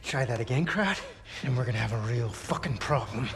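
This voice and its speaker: An actor playing a cocky American pilot prisoner. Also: male voice, mid-range voice, animated, fairly quick, noisy background, lightly acted. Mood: defiant taunting. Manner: taunting, swaggering, laughing at his captors. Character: cocky brash American pilot, mocking captors. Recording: game cutscene with music behind.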